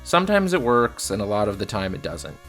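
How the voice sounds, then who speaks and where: A man's voice: lowish voice, very animated, fairly quick, fairly bright tone, faint music behind. A man giving scripted film review commentary in a blunt, sardonic manner. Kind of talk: scripted video essay commentary.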